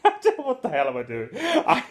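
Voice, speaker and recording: A man's voice: very high voice, wildly swooping pitch, moderate pace. A man chatting casually and comically, with a jokey, self-deprecating and slightly rambling, hesitant manner.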